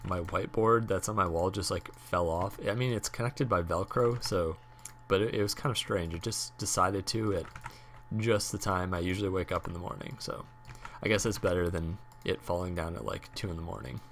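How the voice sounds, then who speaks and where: A young man with a hoarse voice from a cold, rambling casually with many fillers while talking about a game he streams. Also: sits low, normal speed, fairly bright tone, a little hiss or hum. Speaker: gaming YouTuber and streamer. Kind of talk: casual talk over gameplay.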